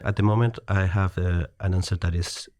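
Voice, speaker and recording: A man's voice: deep voice, steady tone, brisk, darkish tone, no echo, studio quality. A middle-aged man from Chile with a Chilean Spanish accent, chatting as a podcast guest, hesitant with many restarts and easy laughter. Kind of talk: casual conversation.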